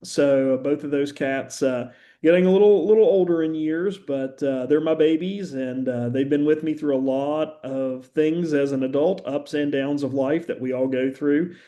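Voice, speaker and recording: Mellow-voiced middle-aged male professor. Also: a little high. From West Virginia, USA. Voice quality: occasional stammer. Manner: conversational and earnest, with frequent "uh" pauses. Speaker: university professor. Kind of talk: recorded introductory lecture.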